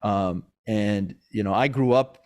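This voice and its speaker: A man's voice: on the low side, measured pace, a little mellow. A man hosting a podcast interview, born in Iran and raised in Maryland, talking casually with lots of fillers and restarts. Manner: rambling, with many fillers and restarts. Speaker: podcast host. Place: Sacramento, California.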